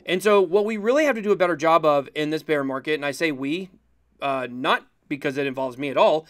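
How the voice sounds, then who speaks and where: A man's voice: fairly high pitch, brisk, dry recording, studio quality. A man in his thirties hosting a casual livestream interview, talking in a conversational, explanatory way. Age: 30s. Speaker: livestream host.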